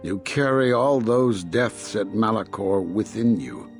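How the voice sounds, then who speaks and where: An actor playing a stern, condemning older Jedi master in a video game, speaking gravely and deliberately with a husky voice. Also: male voice, on the low side, soft background music. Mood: stern, accusing. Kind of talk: dramatic video game dialogue.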